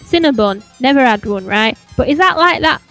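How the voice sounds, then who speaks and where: A woman's voice: high voice, expressive, moderate pace, a bit bright, soft background music. A woman co-hosting a casual podcast chat, blunt and joking, firing off questions. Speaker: podcast co-host.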